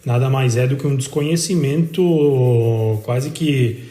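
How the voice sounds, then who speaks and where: A man speaking as an interviewer in a measured discussion. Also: mid-range voice, dark tone. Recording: roomy, echoing sound.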